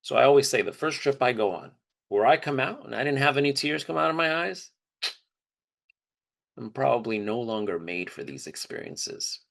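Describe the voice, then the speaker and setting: A man's voice: medium pitch, lively intonation, normal speed, gravelly, fairly bright tone. A man telling personal stories in a casual, conversational way, candid and openly emotional. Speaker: trip leader for student service trips.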